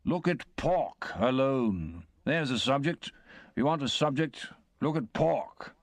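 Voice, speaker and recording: A man voicing a pompous, self-important middle-aged uncle who lectures slowly and with emphasis. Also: mid-range voice, lively intonation, no room sound, voice pushed up.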